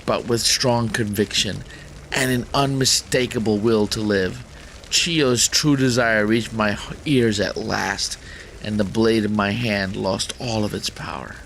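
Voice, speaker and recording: A man's voice: fairly low pitch, fairly even intonation, measured pace, a bit bright, poor audio. A man hosting a gaming channel, reading a visual novel aloud as dramatic narration, with faint noise in the recording.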